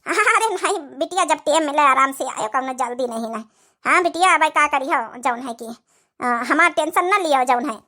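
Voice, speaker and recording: A woman's voice: sits high, animated, moderate pace, bright, forceful tone. A woman voicing an ailing, self-sacrificing old village mother in an Awadhi comedy cartoon, plaintive and soothing. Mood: reassuring.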